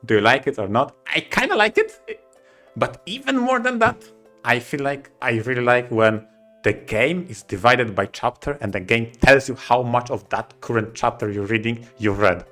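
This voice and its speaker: Bright-voiced man, podcaster. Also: medium pitch, lively intonation, moderate pace, soft background music. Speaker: podcast co-host. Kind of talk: casual podcast discussion of video games.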